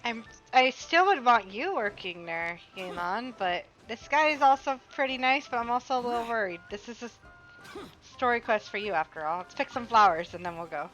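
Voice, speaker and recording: A woman's voice: fairly high pitch, animated, normal speed, a bit bright, muffled recording. A woman giving casual live commentary as a game streamer, chatty and informal, musing aloud. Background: game music.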